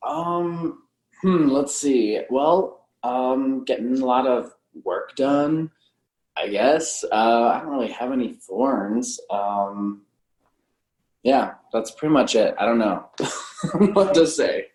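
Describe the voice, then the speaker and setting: A man's voice: mid-range voice, animated, slow, a little mellow. A man chatting casually and hesitantly as a podcast co-host. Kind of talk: casual chat. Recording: echoey room sound.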